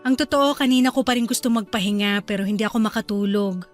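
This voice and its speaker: An actress playing a gentle, caring young wife in a Filipino radio drama, speaking softly and intimately with a Filipino accent. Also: female voice, mid-range voice, fast, soft background music, natural voice. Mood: mildly complaining.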